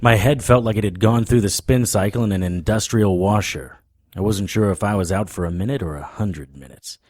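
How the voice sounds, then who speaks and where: A man's voice: fairly low pitch, fairly quick, a little mellow, no echo, clean studio sound, lightly acted. A man playing a haunted, dogged supernatural investigator, telling his story in first person in a hard-boiled audio drama style. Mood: tense, narrating.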